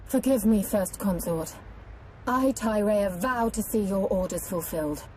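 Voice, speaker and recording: A devoted young female assassin from a fantasy game, voiced by an actress. Her mid-pitched voice is formal and fervent, eager to obey, with faint ambience behind it. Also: measured pace, a little mellow, a little hiss or hum, close to an everyday voice, poor audio. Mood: deferential, determined. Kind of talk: video game cutscene acting.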